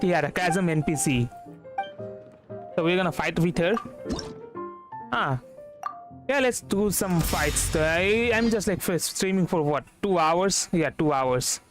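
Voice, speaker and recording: Slow-talking man streaming, highish voice. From India. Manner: muses aloud, hesitant, repeats himself. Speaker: livestreamer. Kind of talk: casual game streaming commentary. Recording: game music behind the voice. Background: game music.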